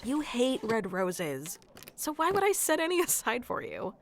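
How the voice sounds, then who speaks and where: A woman playing a sweet, flustered florist secretly in love with a best friend, in an intimate audio roleplay with a hesitant, soft-hearted manner. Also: on the high side, huge pitch swings, a little slow, a little hiss or hum, full character voice. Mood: friendly, teasing. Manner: hesitant, soft-hearted, breaking into nervous chuckles and sighs. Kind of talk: intimate ASMR roleplay acting.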